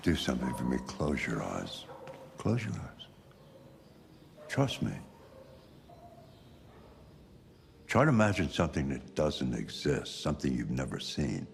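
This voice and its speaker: An actor playing a frail, wise, fatherly old painter in a video game, gravelly and reflective, gently commanding. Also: a bass voice, very animated, normal speed, a little hiss or hum, heavily acted. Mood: gently urging. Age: older. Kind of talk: naturalistic video game acting. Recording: music under the voice.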